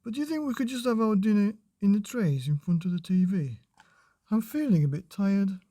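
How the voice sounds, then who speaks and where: A man voicing a tired, mild-mannered father in a children's storybook, sounding weary and gently reluctant. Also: sits high, lively intonation, a little fast, dry recording, studio quality, pitched above the performer's own voice.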